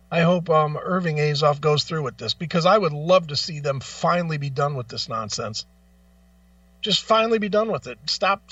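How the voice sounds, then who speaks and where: High-pitched, blunt, ranting man. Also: fairly bright tone, dry recording, recorded from a distance, muffled recording. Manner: blunt, profane, argumentative, emphatic; repeats phrases for emphasis. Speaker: talk show host. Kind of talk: opinionated music talk, ranting.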